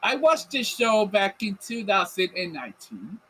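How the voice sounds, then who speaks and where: High bright Puerto Rican man rambling. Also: big pitch swings, normal speed, husky, rough recording. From Puerto Rico. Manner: rambling, restarts often, swears casually. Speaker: podcast co-host. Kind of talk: casual chat.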